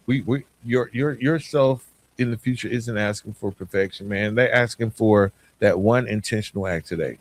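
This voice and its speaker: A man's voice: medium pitch, dry recording. A man hosting a motivational coaching podcast, speaking earnestly and emphatically, addressing listeners directly and repeating phrases to drive them home. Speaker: podcast host.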